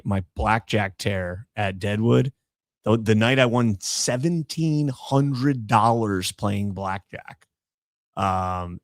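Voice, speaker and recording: A podcast host the man tells stories with lively, animated energy and breaks into bits of songs. His voice sits in a middle range for a man. It is recorded dry and close, with no room sound. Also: mid-range voice, no echo. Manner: animated storyteller, sings snatches of songs. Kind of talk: casual chat.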